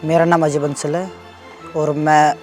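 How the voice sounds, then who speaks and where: A man's voice: fairly high pitch, level tone, measured pace, a little mellow, soft background music. A young man from Jind district, a student, introducing himself plainly. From Jind district, Haryana. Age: young adult.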